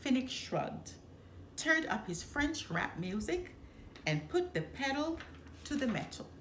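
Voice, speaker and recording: A woman reading a children's storybook aloud with expressive, deliberate phrasing. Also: sits high. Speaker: storybook reader. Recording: roomy echo, some background noise.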